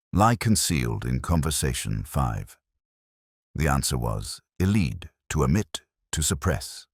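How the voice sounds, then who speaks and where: A man reading a scripted first-person detective story in a measured, brooding, literary manner. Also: a bass voice, no echo, studio quality.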